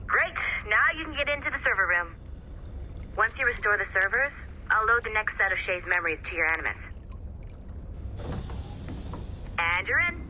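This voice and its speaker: A woman's voice: medium pitch, animated, fairly quick, pushed and bright, slight background noise, rough recording. A woman playing a brusque, sarcastic, impatient young computer technician in a video game, blunt and informal. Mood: brisk, pleased. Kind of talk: video game character dialogue.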